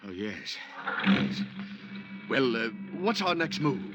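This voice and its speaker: An actor playing a timid, eager insurance broker in 1950s American radio drama, hesitant and excitable as he stammers along. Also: mid-range voice, slow, very noisy. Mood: excited, eager. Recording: vintage 1950s radio recording.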